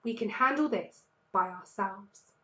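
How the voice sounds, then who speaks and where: A woman's voice: medium pitch, animated, measured pace, sharp tone, lo-fi sound. Woman as a thoughtful father fox, roomy sound. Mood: resolved, tender. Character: thoughtful, careful father fox, tired but devoted. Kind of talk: children's storybook read-aloud.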